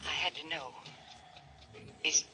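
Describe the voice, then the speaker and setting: An actress playing an anxious, conspiring mistress in a golden age radio mystery, speaking urgently in clipped phrases. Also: female voice, low-pitched, fairly even intonation, slow pace, bright, forceful tone, exaggerated voice. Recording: noisy vintage radio recording.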